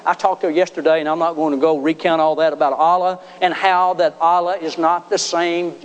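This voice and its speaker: A man's voice: on the high side, level tone, fairly quick, clear, resonant tone, muffled recording. A man preaching a sermon as pastor, emphatic, his voice climbing sharply on key words. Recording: long reverb of a large hall.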